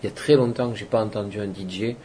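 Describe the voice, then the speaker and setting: Man DJ from Toulouse, very low voice, easygoing and rambling, interview. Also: steady tone, fast, mellow, slight background noise. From Toulouse, France. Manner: loose and rambling, trails off with fillers. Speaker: electronic music DJ and producer. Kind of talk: casual interview answers.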